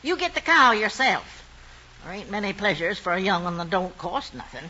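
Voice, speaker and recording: A woman's voice: mid-range voice, lively intonation, moderate pace, heavy background noise, lightly acted. An actress playing a stern, thrifty farm wife and mother in an old rural drama, scolding in a Southern American accent. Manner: scolding, no-nonsense. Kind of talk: vintage rural screen drama acting. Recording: dull old film soundtrack.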